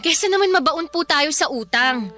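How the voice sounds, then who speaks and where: Teen girl in a radio drama, super high bright voice, earnest, pleading n complaining politely. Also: expressive, moderate pace, soft background music. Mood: frustrated, protesting. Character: earnest, protesting teenage daughter nearing eighteen.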